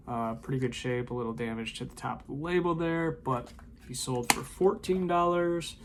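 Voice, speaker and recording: A man's voice: medium pitch, normal speed, slight background noise. A man casually running through his weekend eBay sales, talking loosely with frequent ums and uhs from a room at home. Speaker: eBay reseller vlogger. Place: home room with shelves of tapes.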